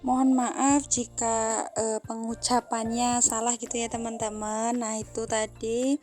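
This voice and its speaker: A housewife vlogger from Central Java talks with a Javanese accent in a fairly high, mellow voice. She chats on with many little fillers, often turning to her viewers as friends. Soft music plays faintly underneath. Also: level tone, a little slow. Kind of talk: casual vlog narration while doing chores. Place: wooden house in a village.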